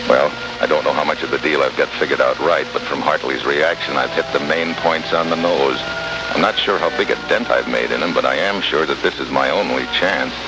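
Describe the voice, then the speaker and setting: An American actor playing a tough, wisecracking secret agent in a 1950s radio drama, clipped, slangy and sardonic. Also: male voice, rumbling low, fairly quick, music in the background. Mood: grimly determined. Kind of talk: 1950s radio drama acting, hard-boiled narration. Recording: noisy, hissy old radio recording.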